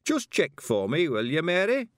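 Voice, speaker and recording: A man voicing a frail, lonely old man who speaks slowly and feebly. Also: a little high, wildly swooping pitch, no room sound, clean studio sound, voice pushed up. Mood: feeble. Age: older.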